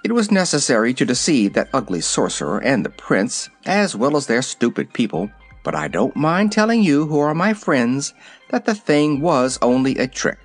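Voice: mid-range voice, big pitch swings, normal speed, faint music behind. A narrator voicing a little male humbug wizard in an audiobook, candid and explanatory. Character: little old humbug wizard, kindly showman. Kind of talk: audiobook character voice.

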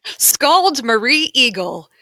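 Woman host, mid pitch, bright, dry close sound, playful teasing. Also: big pitch swings, takes it slowly, no echo. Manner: playful, teasing, leading a game. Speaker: podcast host. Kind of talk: casual podcast chat.

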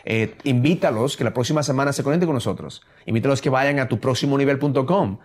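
A man's voice: mid-range voice, fast talker. Man Spanish. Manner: restarts words, mixes in English phrases. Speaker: podcast co-host. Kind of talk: motivational podcast chat.

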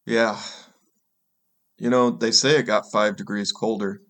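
An actor playing a composed, officious dystopian government enforcer in an audio drama, measured in his delivery. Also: fairly low pitch, flat delivery, fairly bright tone. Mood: dry, confiding.

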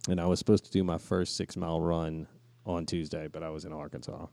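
A man's voice: deep voice, flat delivery, brisk, creaky, dark tone, dry recording. A man co-hosting a podcast, telling slangy, joking stories in a casual, chatty way. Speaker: podcast co-host.

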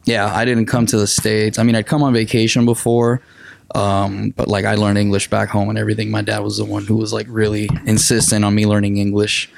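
A man's voice: fairly low pitch, completely flat delivery, a little fast, vocal fry, a little mellow, slight background noise. A man from Puerto Rico, in his thirties, a comedian guest on a casual podcast, swearing and laughing easily.

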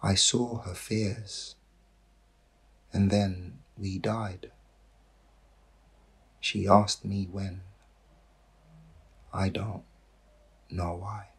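A man reading his poem aloud in short, measured phrases, with a husky voice. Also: sits low, little pitch movement. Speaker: poet reading own poem. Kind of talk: poetry reading.

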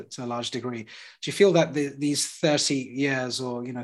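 A man interviewing a guest, asking long, searching questions that wander before reaching the point. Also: medium pitch. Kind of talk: conversational interview.

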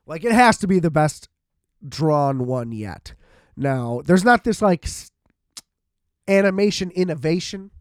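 A man's voice: a little high, a little mellow, dry recording, clean studio sound. An American man giving a casual, rambling solo movie review, talking in an informal, profane way and repeating himself for emphasis. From United States.

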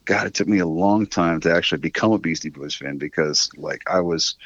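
A man's voice: sits low, fairly quick, fairly bright tone, no echo, highs cut off. A middle-aged American man reminiscing in a casual, rambling, conversational way as an interview guest. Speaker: interview guest, writer and musician.

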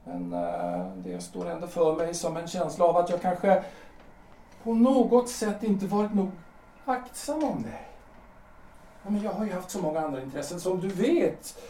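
High-voiced anguished husband played by a man, roomy sound. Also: expressive, dark tone, slight background noise. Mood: self-doubting. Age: 40s. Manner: halting, repeats phrases, emotional. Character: anguished middle-aged husband, brooding, insistent, self-doubting. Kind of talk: theatrical period audio drama acting. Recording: roomy, distant from microphone.

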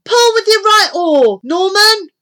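An alarmed, excitable child acted by a woman in a storybook adventure. The voice is very high and forceful, shouting with urgency. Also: unhurried, exaggerated voice. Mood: urgent.

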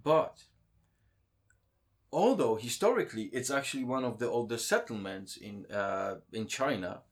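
A man's voice: mid-range voice, expressive, normal speed. Hesitant man. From Poland. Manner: hesitant, many ums and restarts. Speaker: interview guest. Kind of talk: casual interview.

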